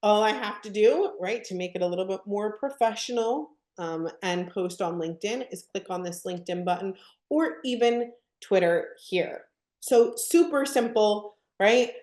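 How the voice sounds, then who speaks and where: Upbeat woman demoing software, echoey room. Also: mid-range voice, normal speed. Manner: upbeat, asks the audience frequent questions. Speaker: product presenter. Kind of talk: live webinar product demo.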